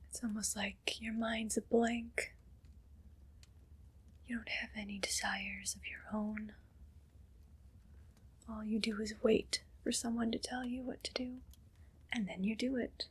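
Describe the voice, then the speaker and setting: A woman voicing a haughty, cruel evil queen in an ASMR roleplay, whispering softly and condescendingly. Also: fairly high pitch, flat delivery. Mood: condescending, menacing. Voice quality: breathy whisper. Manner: slow, condescending, possessive.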